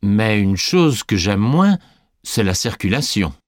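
An actor playing a young sporty French man answering scripted interview questions with clear enunciation. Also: lowish voice, big pitch swings, takes it slowly, no echo, clean studio sound. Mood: friendly, measured. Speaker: interviewee in a language listening exam.

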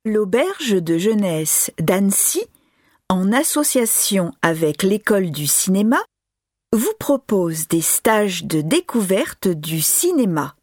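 A woman reading a scripted informational announcement with clear articulation. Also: medium pitch, big pitch swings, slow, darkish tone, no echo, clean studio sound. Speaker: announcement reader.

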